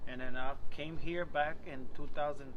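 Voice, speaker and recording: A man's voice: a little high, steady tone, normal speed. Man from Mexico, noisy recording. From Michoacán, Mexico. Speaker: pigeon race loft owner being interviewed. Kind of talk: informal interview talk. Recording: noisy outdoor recording with vehicle sounds.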